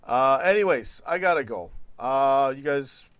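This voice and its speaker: A man's voice: medium pitch, expressive, a little slow, a bit bright, clear, resonant tone. A man hosting a live football talk stream, chatting in a laid-back, rambling way. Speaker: host.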